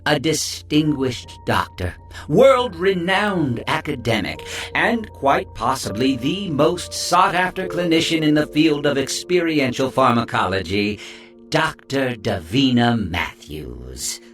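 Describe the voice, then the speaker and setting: A man's voice: medium pitch, very animated, takes it slowly, a bit bright, faint music behind. An actor playing a pompous, theatrical villain who speaks like a showman presenter in an audio drama. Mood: grandiose. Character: grandiose, theatrical male villain posing as genial presenter.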